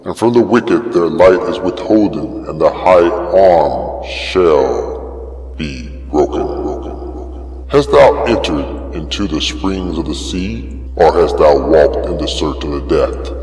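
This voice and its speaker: An extremely deep, cavernous voice of God, performed by a man, stern and majestic. The delivery is very slow and declamatory, posing solemn challenges as in a dramatic scripture reading. Long reverb surrounds every phrase, with music underneath. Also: lively intonation, a little mellow, exaggerated voice. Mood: grave, questioning. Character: God speaking from a whirlwind, stern, majestic, commanding.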